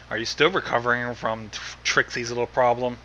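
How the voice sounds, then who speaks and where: A man's voice: medium pitch, fairly even intonation, normal speed, pushed and bright, rough recording. A man giving casual reactions as a guest commentator on a story reading. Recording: amateur mic with faint noise.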